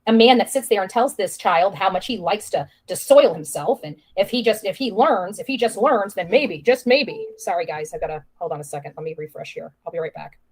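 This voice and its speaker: Insistent woman commentator, mid-pitched voice. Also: animated, brisk, few pauses. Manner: accusatory, insistent, repeats phrases for emphasis. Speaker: online commentator. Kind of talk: opinionated live-stream commentary.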